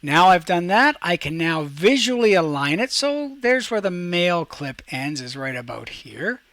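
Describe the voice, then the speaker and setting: A man hosting a software tutorial as a teacher, talking through each step aloud in an instructional way. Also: sits high, big pitch swings, measured pace, dry recording.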